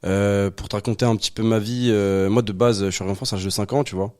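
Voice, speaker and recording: Male guest, 20s, from Algeria; rapid, low-pitched, casual delivery with frequent fillers. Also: clear, resonant tone. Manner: relaxed, many fillers and restarts. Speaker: podcast guest. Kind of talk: casual conversation.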